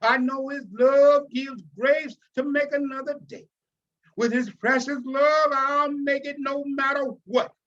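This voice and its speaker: A man's voice: very high-pitched, fairly bright tone, muffled recording, voice pushed up, full character voice. A man voicing an elderly, devout, feisty grandmother in a folksy, teasing, preaching manner. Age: older.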